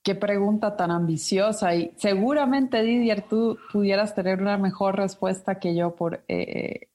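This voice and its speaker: A woman from Ecuador speaks as an academic guest in an interview, at a medium pitch. She answers reflectively and often pauses with fillers and restarts. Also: darkish tone. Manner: thoughtful, many fillers and restarts. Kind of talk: interview conversation.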